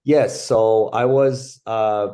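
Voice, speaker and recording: Soft-spoken man, steady and reflective. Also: fairly low pitch. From France. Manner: thoughtful, many pauses and fillers. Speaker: interview guest, bench press champion. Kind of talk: casual interview talk.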